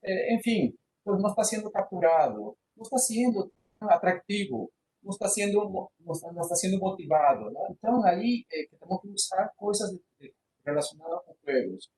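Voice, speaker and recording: A man's voice: high voice, expressive, normal speed, warm tone, rough recording. A man, giving an online university lecture with many fillers and restarts, slipping into Portuguese words. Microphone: computer microphone on a video call.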